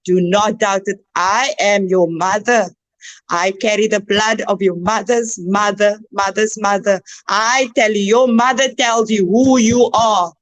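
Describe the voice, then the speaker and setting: A woman with a South African Cape Flats accent, a guest speaking in a slow, declaiming, preacherly way. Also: mid-range voice. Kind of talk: impassioned online discussion.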